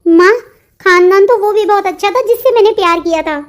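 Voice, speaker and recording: A woman acting a sulky, high-pitched young cartoon bride. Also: brisk. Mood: defiant. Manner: petulant, back-talking. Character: sulky, defiant young bride forced into marriage. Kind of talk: melodramatic Hindi cartoon dialogue.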